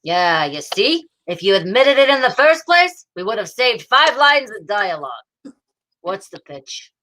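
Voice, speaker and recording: Woman voicing a male police inspector, rather high voice, world-weary, sardonic, hard-boiled wisecracks, old-time radio drama style. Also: big pitch swings, unhurried, a bit bright. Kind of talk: 1940s radio detective acting.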